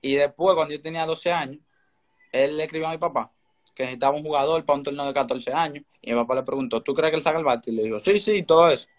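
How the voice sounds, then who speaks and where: A man's voice: fairly high pitch, fast. A teenage young man with a Dominican accent, giving casual answers as an interview guest on a video call. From Dominican Republic. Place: indoor room, remote video call. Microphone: video call, phone or laptop close in front.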